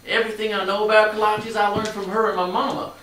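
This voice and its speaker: A man's voice: high voice, little pitch movement, fast talker, bright, forceful tone. A man acting in a staged play reading as a genial, proud shopkeeper father with a friendly, folksy storytelling manner. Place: live staged reading in a room. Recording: very echoey, distant mic, faint noise.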